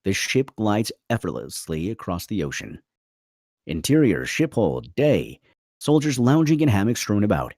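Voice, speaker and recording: A man's voice: on the low side, sing-song, normal speed, darkish tone, dry recording, clean studio sound. A man reading screenplay stage directions as the narrator of a table read.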